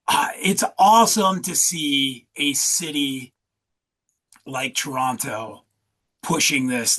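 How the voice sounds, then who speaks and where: A man's voice: a little high, pushed and bright, slightly rough. A man hosting a casual interview over a video call, upbeat and joking, with lots of fillers. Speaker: host.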